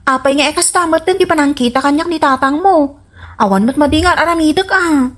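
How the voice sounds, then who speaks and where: A woman's voice: high-pitched, brisk, fairly bright tone. A woman with an Ilocano Filipino accent playing an aggrieved young female friend in a radio comedy drama.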